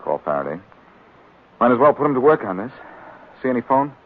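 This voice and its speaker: An actor playing a cocky, quick-witted amateur detective, dry and teasing, in 1940s radio drama style. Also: male voice, medium pitch, very animated. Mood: brisk, intent. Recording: muffled, phone-like 1940s radio recording.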